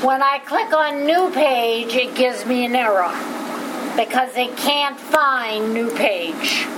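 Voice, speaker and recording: A woman teacher with a Boston accent talks students through a lesson at an unhurried pace, in a slightly high voice. She explains things patiently, one step at a time. The classroom is echoey, with a steady hum of noise behind her. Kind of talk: classroom lecture.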